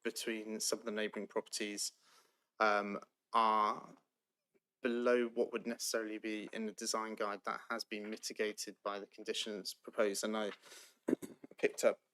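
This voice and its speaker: Male council planning officer, fairly low voice, even monotone delivery, hesitant ums. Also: slight background noise. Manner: measured, procedural, many ums. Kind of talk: formal council meeting answers.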